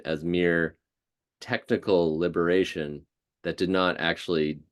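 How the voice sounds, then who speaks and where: A man giving a considered, hesitant explanation as a guest lecturer answering questions after a talk. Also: sits low. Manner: thoughtful, hesitant, measured. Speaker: guest speaker, historian.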